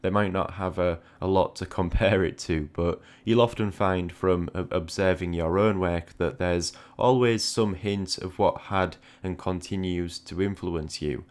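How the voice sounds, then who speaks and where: A man with a notably deep voice talks through advice in a conversational monologue. He sounds reflective, often doubling back on a word as he works out his thought, like a channel host thinking aloud. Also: fairly even intonation, measured pace, few pauses. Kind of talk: reflective spoken monologue giving art advice.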